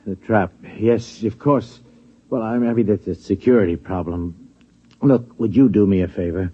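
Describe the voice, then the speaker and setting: An actor in an old radio drama playing a condescending, easily flustered lab security supervisor who stammers and repeats himself. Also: male voice, fairly low pitch, measured pace, breathy edge. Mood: bewildered, flustered. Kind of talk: 1950s radio drama acting. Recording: dull vintage radio broadcast recording.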